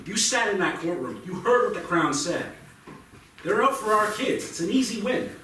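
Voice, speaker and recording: A man's voice: sits high, lively intonation, moderate pace, pushed and bright. An actor playing a defensive, argumentative middle-aged father in a live staged play reading. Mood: combative. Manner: insistent, argumentative, stumbling over restarts. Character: defensive, combative father shielding his teenage son. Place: indoor theatre stage, recorded from a distance. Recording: echoey, distant theatre recording with faint noise.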